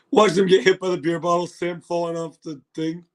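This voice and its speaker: A hoarse man hosting a casual livestream reaction, excitable and laughing, swearing now and then, recorded on a phone. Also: high voice, a little slow. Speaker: livestream host.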